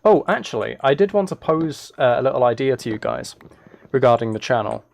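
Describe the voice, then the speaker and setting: A man's voice: medium pitch, lively intonation, a little fast, vocal fry, a little mellow. A man chatting casually on a live gaming stream, thinking aloud in a hesitant, rambling way.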